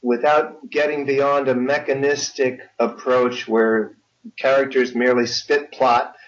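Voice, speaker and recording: A man's voice: mid-range voice, level tone, measured pace. Older man, muffled lo-fi audio. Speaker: guest author. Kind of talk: conversational interview talk. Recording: dull, lo-fi connection.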